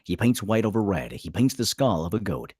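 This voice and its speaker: A man's voice: lowish voice, very animated, fairly quick, darkish tone, slightly rough, no echo, studio quality. A man reading screenplay stage directions aloud as a dramatic narrator at a table read.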